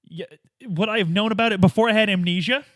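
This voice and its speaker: A man's voice: high-pitched, fast talker, no room sound, clean studio sound. A man playing a tabletop game, chatting and improvising casually in an informal, bantering way. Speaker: tabletop player. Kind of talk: casual improvised roleplay chat.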